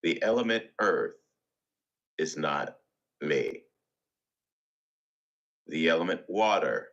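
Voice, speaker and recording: A man reads a guided meditation in a very deep voice with a bright, forceful edge. He moves extremely slowly, setting down short phrases with deliberate pauses. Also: highs cut off. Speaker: meditation reader.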